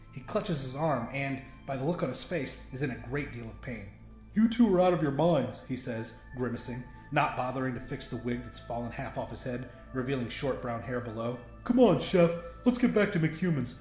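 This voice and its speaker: A man's voice: medium pitch, brisk, reverberant, faint music behind. A man reading a first-person story as a dramatic audiobook narrator.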